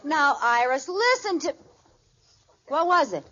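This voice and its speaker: An actress playing a dramatic, scheming housewife in a theatrical 1940s radio sitcom style, on an old recording. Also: female voice, sits high, very animated, slow, sharp tone, some chatter behind, heavily acted. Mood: protesting. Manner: melodramatic, theatrical. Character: dramatic scheming housewife who believes she is dying. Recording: muffled, noisy old-time radio recording.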